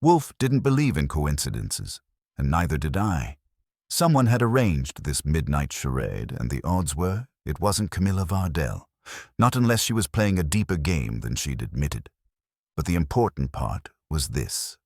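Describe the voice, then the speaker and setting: A man reading a first-person detective story as scripted narration, in a measured, deliberate storytelling manner. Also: rumbling low, sing-song, dry recording, studio quality. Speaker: audiobook narrator.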